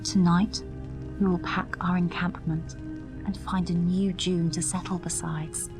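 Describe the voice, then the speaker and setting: An actress playing a gentle, cautious mother of a desert tribe in an audio drama, soothing and hushed. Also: lowish voice, little pitch movement, measured pace. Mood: cautioning. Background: dramatic music.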